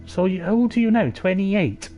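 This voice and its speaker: A man streaming a video game, talking to the characters in loud, sweary, rambling banter. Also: high-pitched, moderate pace, soft background music. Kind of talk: game livestream commentary.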